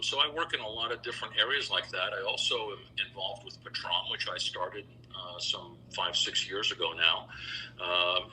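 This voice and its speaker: A middle-aged man with a low, very bright and forceful voice. He talks conversationally as an interview guest, telling stories and acting out remembered dialogue. There is faint noise behind him. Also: poor audio. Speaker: interview guest, businessman and choral bass singer.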